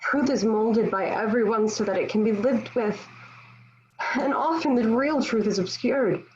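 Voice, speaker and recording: A woman's voice: on the high side, a little slow, a little mellow, a little hiss or hum, close to an everyday voice. A woman playing a defiant, devout young warrior held captive, with solemn, declamatory phrasing in a table read of a historical drama, on a dull lo-fi recording. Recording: dull, lo-fi home online recording.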